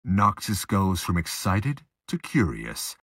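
A man with a husky voice reading scripted narration for an audio drama. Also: low voice, huge pitch swings, slow, fairly bright tone, no echo, studio quality.

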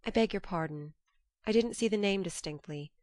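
A woman's voice: medium pitch, normal speed, a little mellow, no echo, clean studio sound, lightly acted. A woman voicing an earnest, emotional young woman in love, heartfelt and plaintive, in an audiobook. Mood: hurt, brave. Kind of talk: audiobook character voice.

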